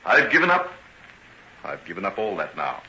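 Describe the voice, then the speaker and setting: An actor playing an ardent, tormented, wryly teasing doctor in an old-time radio drama, with theatrical, genteel diction. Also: male voice, low voice, huge pitch swings, normal speed, pushed and bright, full character voice. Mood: brisk, wry. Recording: dull, faintly noisy vintage radio recording.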